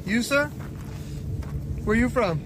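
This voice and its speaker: A man's voice: very high-pitched, lively intonation, measured pace. A man interviewing people in the field with short, repeated, polite questions, recorded outdoors. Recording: noisy outdoor field clip with traffic.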